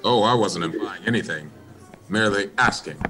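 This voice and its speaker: An actor playing a polite, probing American police inspector with measured, courteous questioning in a radio play. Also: male voice, lowish voice, music in the background, lightly acted. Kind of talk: radio play detective dialogue.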